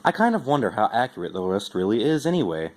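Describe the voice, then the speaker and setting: A man with a fairly low voice giving an expressive amateur storybook reading in the first person. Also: fast talker. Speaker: amateur reader.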